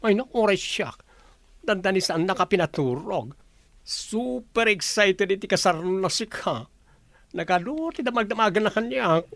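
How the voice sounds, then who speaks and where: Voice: mid-range voice, animated, moderate pace, fairly bright tone, no echo. An actor playing a sassy, teasing, campy friend with a Filipino accent, exclamatory in radio drama style. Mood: gossipy.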